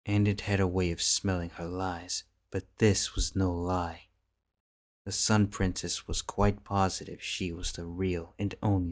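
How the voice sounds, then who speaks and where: A man narrating a fantasy fanfiction audiobook in a measured, solemn manner. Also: low-pitched. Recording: soft background music.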